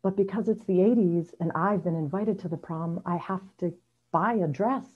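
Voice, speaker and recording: A dull, lo-fi video call recording of a middle-aged woman with a fairly low voice. She tells a first-person story as an amateur at a story slam. Her delivery is wry and self-deprecating. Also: moderate pace, breathy edge.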